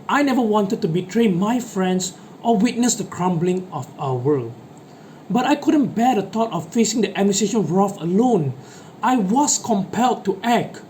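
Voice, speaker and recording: A man's voice: high-pitched, mellow. A man playing a guilt-ridden schoolboy, noisy recording. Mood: anguished, guilty. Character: guilt-ridden schoolboy defending his betrayal of friends. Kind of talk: dramatic monologue, reader's theatre. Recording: noticeable background noise.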